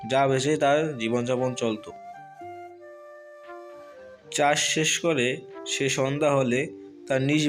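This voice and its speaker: A man tells a scripted children's cartoon story as narrator. His delivery is slow and measured, at a middle pitch, with music playing lightly underneath.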